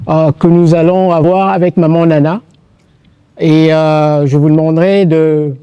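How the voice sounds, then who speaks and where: A man hosting an interview in polite, halting, formal phrasing. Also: on the high side, warm tone, no room sound. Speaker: interview host. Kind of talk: unscripted event hosting.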